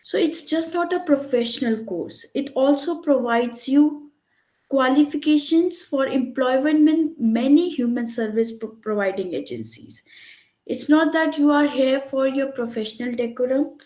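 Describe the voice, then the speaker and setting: A woman's voice: sits high, expressive, moderate pace, dark tone. Woman, online lecturer, checks in with listeners often, echoey room. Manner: explanatory, repeats "right?" to check in. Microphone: computer mic on a video call.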